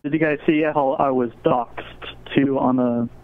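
A man's voice: medium pitch, fairly even intonation, unhurried. A man calling in over a video call, telling his story plainly and matter-of-factly. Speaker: call-in guest. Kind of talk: casual chat. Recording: tinny phone-like call audio.

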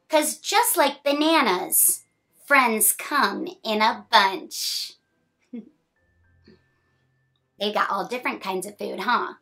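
A woman in her thirties hosts a children's read-aloud in a fairly high, bright voice. She is expressive and encouraging, with a smiling, sing-song delivery and friendly asides to young listeners. Music plays behind her. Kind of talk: reading a picture book aloud to children, with chatty asides.

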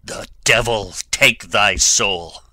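Guy doing a hot-headed grieving nobleman, shakespeare style, really sharp and tense, slow declaiming. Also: fairly low pitch, no echo, heavily acted. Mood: anguished, furious. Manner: declamatory, forceful. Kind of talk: dramatic Shakespeare audiobook reading.

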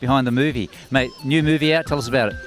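A middle-aged man with an Australian accent, an energetic, chatty red carpet host interviewing guests outdoors on a handheld mic. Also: mid-range voice, very fast. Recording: music playing behind.

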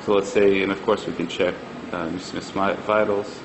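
A man with a very deep, creaky voice walks through a product demonstration. His delivery is conversational and a little hesitant. There is a clear ambient hum behind him in an indoor space. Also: little pitch movement, moderate pace.

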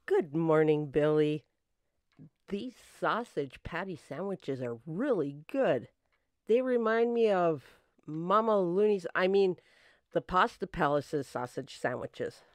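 A woman's voice: on the low side, lively intonation, takes it slowly, no echo. A woman playing a chatty, modest secretary to a private eye in an old-time radio drama, plain-spoken in manner. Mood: friendly, modest.

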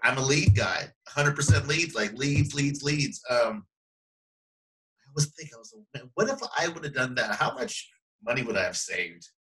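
A man's voice: mid-range voice, animated, normal speed, bright, forceful tone, low-quality recording. A middle-aged man hosting an interview over a video call, enthusiastic and emphatic, repeating words for stress. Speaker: podcast host, real estate coach. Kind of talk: casual interview chat. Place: small indoor room. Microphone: webcam video call.

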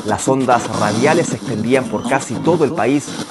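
A man's voice: mid-range voice, normal speed, loud music behind the voice. A man in his thirties with a Chilean accent, hosting and narrating a scripted documentary.

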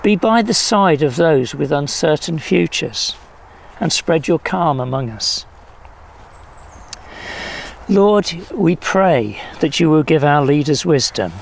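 An older man solemnly reading prayers at a church service in measured phrases, recorded outdoors. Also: fairly high pitch, gravelly. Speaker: prayer leader. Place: outdoors in a leafy field or garden. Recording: faint outdoor background noise.

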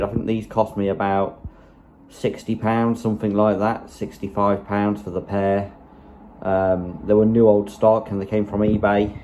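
Male channel host, casual reviewer, lowish voice with little inflection, conversational and unscripted, phone mic. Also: warm tone, dull audio, a little hiss or hum. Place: small room indoors. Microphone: phone microphone, held behind the camera.

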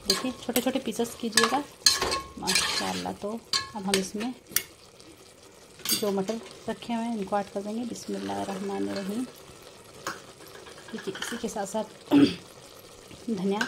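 A woman with a mid-pitched voice walks viewers through a recipe step by step in a casual way. She speaks to them directly and often repeats her instructions. Clear kitchen noise runs behind her, with clanking pots, stirring and sizzling. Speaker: home cook and vlogger presenting a recipe. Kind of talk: casual step-by-step cooking narration. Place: home kitchen. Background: pots clanking, frying and stirring.

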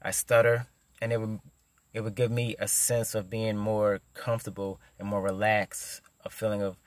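A man's voice: lowish voice, little pitch movement, moderate pace, no room sound. A man in his thirties who stutters, giving casual advice into a phone camera from his parked car, with repeated words and many fillers. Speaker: vlogger. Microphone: phone camera at arm's length.

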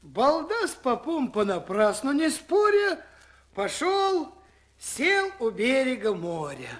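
A man narrating a verse fairy tale for a children's record, in a lively, rhythmic reading. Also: extremely high pitch, takes it slowly. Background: folk instrument ensemble.

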